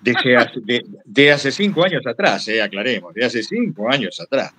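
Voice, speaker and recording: An older Argentine man speaking at a medium pitch as a guest at a talk. He tells anecdotes reflectively, rambling and halting as he goes. He often repeats a phrase to underline his point. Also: sing-song, pushed and bright, slightly rough. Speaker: guest speaker, retired radio broadcaster.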